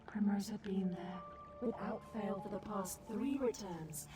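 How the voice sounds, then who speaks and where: An actress playing a secretive, tender guardian who narrates a tragic tale in a measured, solemn way. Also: female voice, mid-range voice, over music, close to an everyday voice. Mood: solemn, wistful. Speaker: story narrator. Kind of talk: scripted dramatic narration.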